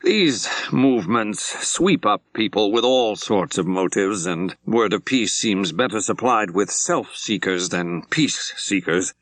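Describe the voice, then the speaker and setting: A man voicing a troubled senior bishop in an audiobook, speaking in a formal, hesitant, deliberate way. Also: on the low side, animated, a bit bright, no room sound. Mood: troubled, uneasy.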